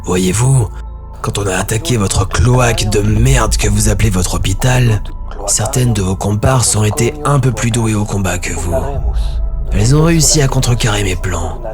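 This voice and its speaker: A man's voice: fairly low pitch, normal speed, lightly acted. A man voicing a cold, scornful alien warlord in a dramatic audio story, commanding and threatening. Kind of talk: dramatic audio fiction acting. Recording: loud music under the voice.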